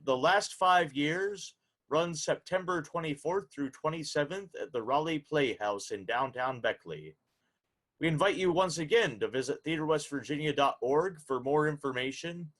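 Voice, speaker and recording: A man's voice: on the high side, fairly bright tone, rough recording. A man hosting a radio interview in a courteous, announcer-like way. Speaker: show host, interviewer.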